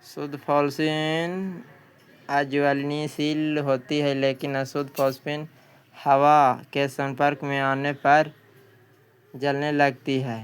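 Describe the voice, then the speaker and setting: A man's voice: fairly high pitch, completely flat delivery, takes it slowly, smooth. A man teaching an exam practice lesson, reading out questions and answers and often repeating a question before answering.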